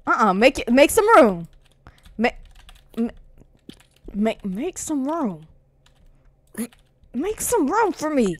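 A woman playing a jumpy, bossy young woman in an improvised horror-comedy roleplay, stammering and repeating her words with sass. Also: mid-range voice. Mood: uneasy, insistent.

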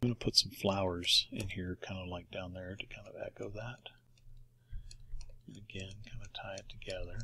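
A man teaching digital painting in a quiet, unhurried screen-recorded tutorial, often dropping to near a whisper as he works. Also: low-pitched, fairly even intonation, gravelly, a little hiss or hum. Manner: unhurried, talks while working.

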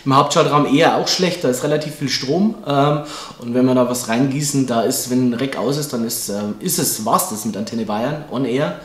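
A man in his forties, hoarse from a cold, explaining radio station technology in a chatty way with lots of fillers and laughs. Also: mid-range voice, normal speed. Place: indoor room, then server room with air conditioning. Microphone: handheld recorder.

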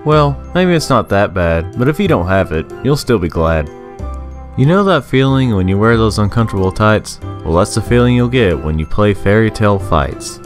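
A man's voice: lowish voice, sing-song, moderate pace, faint music behind. A man reading a scripted, rhyming video game review in a sarcastic, sing-song manner.

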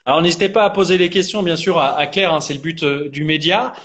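Casting: young man as informal interview host, fairly high pitch, stumbling through repeated words. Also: fairly bright tone. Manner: halting, repeats words while forming questions. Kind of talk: casual live interview. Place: indoors, plain room. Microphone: video call, device at arm's length.

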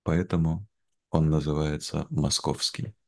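A young man speaking in a careful, measured way in a simplified conversation for learners, on a video call from home. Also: a bass voice, steady tone, gravelly, mellow. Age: young adult. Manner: measured, careful, deliberate phrasing. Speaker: guest, language teacher. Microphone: video call, wearing headphones.

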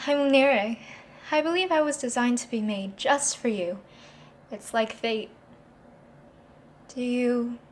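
A woman's voice: a little high, a little hiss or hum. A woman playing a sweet android girlfriend.

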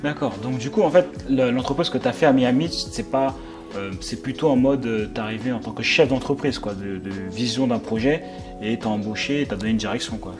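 A man's voice: medium pitch, brisk, over music. A man in his thirties hosting an interview, asking loose, rambling questions and often answering with short "d'accord" and "ouais". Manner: friendly, many short acknowledgments, rambling questions. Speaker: interviewer, host. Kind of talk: casual interview chat. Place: bright living room, indoors, on a sofa.

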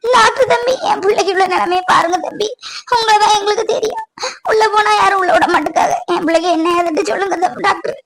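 A woman's voice: very high-pitched, fast, fairly bright tone, exaggerated voice. A woman playing a distraught mother in a family melodrama, tearful and lamenting, with a southern Tamil Nadu accent. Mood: tearful pleading. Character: distraught, wailing mother of an injured grown son. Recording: clearly noisy track with clicks.

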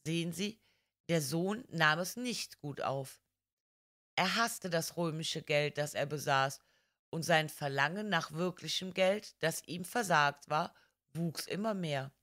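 A woman voicing a polite, gentle male priest detective who explains things calmly in a crime audiobook. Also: low voice.

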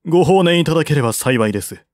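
A man voicing a shrewd, calm military strategist of ancient China in an audiobook, formal and reasoned. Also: a little high, fast, no echo, clean studio sound, natural voice. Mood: courteous.

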